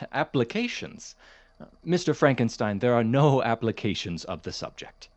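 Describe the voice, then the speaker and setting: An actor playing a courteous, dismissive chemistry professor in an audio drama, speaking in a formal, measured, gently condescending manner. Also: male voice, medium pitch, big pitch swings. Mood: dismissive, patronizing. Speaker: substitute lecturer. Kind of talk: audio drama, lecture and office talk.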